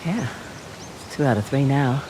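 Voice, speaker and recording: A woman's voice: rumbling low, animated, normal speed, background noise. An actress playing a wry, teasing woman treasure hunter with an Australian accent in a video game, speaking casually and naturally.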